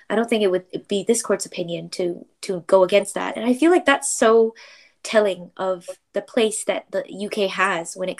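A young woman from Malaysia, chatting as a podcast guest in a middle-pitched voice. She talks freely, laughs often, and fills her sentences with "like" and "you know". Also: a little mellow. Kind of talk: casual interview chat.

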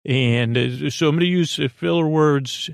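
A man with a creaky, gravelly voice hosting a sleep podcast in a meandering, halting, goofy monologue that keeps interrupting itself. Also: fairly high pitch, no room sound, clean studio sound. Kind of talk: rambling bedtime monologue.

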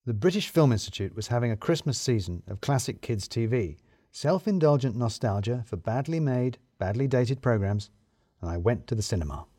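A man narrating a scripted first-person comic story in a wry, theatrically self-pitying way with deliberate emphasis. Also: medium pitch, animated, mellow, dry recording, clean studio sound.

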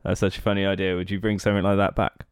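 A man's voice: sits low, little pitch movement, very fast, clear, resonant tone, no room sound, clean studio sound. A man in his thirties hosting a podcast, who laughs easily and asks friendly questions in casual conversation. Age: 30s. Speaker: podcast host.

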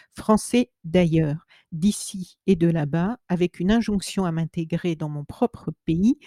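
A woman interviewer speaks in a fairly low, mellow voice, recorded close and dry. She asks her questions in a measured way, sometimes pausing on fillers. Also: no room sound. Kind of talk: interview questions, reading out a text.

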